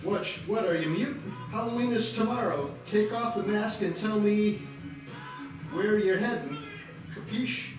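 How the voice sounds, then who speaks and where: Blunt, slangy male trucker acted by a man, high-pitched, heard across a very echoey room with music. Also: measured pace. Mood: annoyed, incredulous. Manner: slangy, confrontational. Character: brash, blunt male truck driver, horror screenplay. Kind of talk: amateur table-read acting. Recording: very echoey, distant, music behind.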